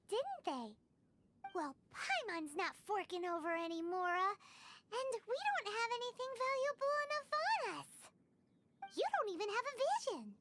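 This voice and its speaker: An actress voicing a tiny, childlike fairy companion in a game, bubbly and quick. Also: female voice, extremely high pitch, a bit bright, a little hiss or hum, heavily acted. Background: game music.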